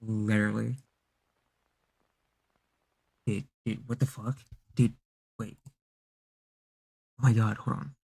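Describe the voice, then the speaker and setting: A man with a fairly low, creaky voice reacts live as a streamer. He sounds scared and on edge, speaking in halting, casual bursts full of slang and swearing. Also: steady tone, mellow, rough recording. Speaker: streamer reacting to a horror video.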